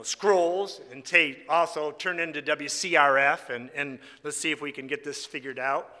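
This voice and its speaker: Male preacher, folksy and teasing, high sharp voice with a slight rasp, live in a reverberant hall. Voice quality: slightly raspy. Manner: folksy, teasing, joking with the congregation. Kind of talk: informal sermon with congregational singing. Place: large reverberant room before a congregation. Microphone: microphone on a stand or PA. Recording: echoey hall with long reverb.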